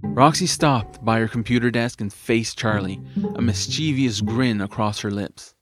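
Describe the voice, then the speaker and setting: A man narrating a scripted story in an expressive, dramatised storytelling manner. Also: lowish voice, measured pace, music in the background.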